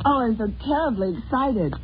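A woman's voice: on the high side, animated, normal speed, close to an everyday voice. A woman playing a gushing, glamorous newlywed in melodramatic old radio style. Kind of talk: old radio drama acting. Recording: tinny old recording, faint noise.

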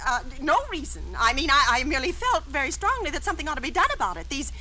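A woman's voice: sits high, big pitch swings, fast talker, sharp tone, full character voice. An actress playing an anxious, imperious married woman in a 1940s radio drama, agitated, stammering and insistent. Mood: insistent, frightened. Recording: faintly noisy vintage radio recording.

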